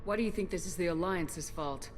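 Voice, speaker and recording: An actress playing a resolute military commander in a video game, calm, direct and authoritative. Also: female voice, lowish voice, brisk, slight background noise, close to an everyday voice. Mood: firm questioning.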